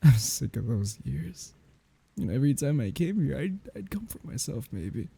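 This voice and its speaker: Guy playing a heartbroken man at his lover's grave, really dark gravelly voice, soft halting asmr roleplay. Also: sing-song, exaggerated voice. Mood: anguished, tearful. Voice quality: husky, low, gravelly. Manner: halting, soft, emotional delivery with sighs and whispers. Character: grieving man saying goodbye at a lover's grave. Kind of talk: intimate ASMR roleplay monologue.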